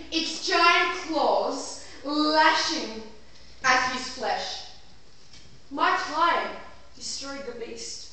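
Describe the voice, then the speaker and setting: Distant roomy theatre recording, actress as female defense lawyer, pompous, slow deliberate oratory, dramatic pauses, stage play. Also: high-pitched, sharp tone, heavily acted. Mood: dramatic, impassioned. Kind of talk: theatrical courtroom monologue in an amateur stage play. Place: small theatre stage before a live audience. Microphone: distant camera microphone. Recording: echoey theatre, far from mic, faint noise.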